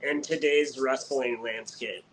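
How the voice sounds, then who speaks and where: Slow, bright-voiced young man host. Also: medium pitch, steady tone, a little hiss or hum, rough recording. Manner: friendly, hesitant with many fillers. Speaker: podcast host. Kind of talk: casual podcast interview.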